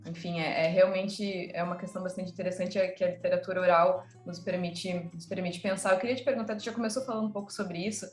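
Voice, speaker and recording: A woman's voice: on the low side, steady tone, fast talker, few pauses. A woman with a southern Brazilian accent interviewing conversationally, searching for words and repeating phrases as she goes. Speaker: interviewer, teacher. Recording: roomy echo, music in the mix.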